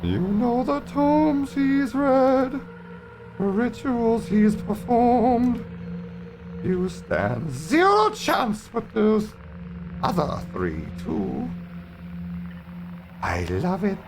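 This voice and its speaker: A sinister game villain, put on by a deep-voiced man, drags out every threat with cruel relish. The voice swoops high and low in a lively way and never hurries. Each word lands as a gloating promise of doom. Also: gravelly, background noise, full character voice. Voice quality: slow drawn-out menace.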